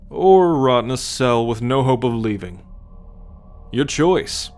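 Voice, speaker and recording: An alien military scout played by a man speaks in a very slow, measured way at a middling male pitch. He is haughty and teasing, sure of his own superiority, and condescends to the one listener he addresses. It has the close, deliberate delivery of an ASMR roleplay drama. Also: natural voice.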